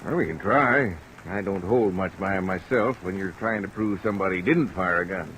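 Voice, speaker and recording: An easygoing small-town lawman from an old Western-set radio drama. He talks in a rustic, plain-spoken way at a fairly low pitch, with faint rain noise over the vintage recording. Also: moderate pace, fairly bright tone, low-quality recording. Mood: thoughtful, affable.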